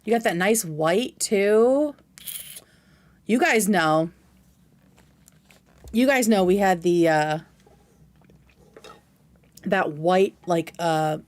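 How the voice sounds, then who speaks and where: A woman's voice: mid-range voice, a little mellow. Female livestream host, casual and effusive, mid-range voice, slangy and sweary. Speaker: livestream host reacting to a video. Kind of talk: casual livestream chat with commentary.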